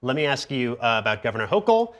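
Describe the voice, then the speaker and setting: A man's voice: medium pitch, sing-song, quick pace, fairly bright tone. A man anchoring a TV news program, introducing a guest and asking probing questions in a conversational way. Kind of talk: TV news interview.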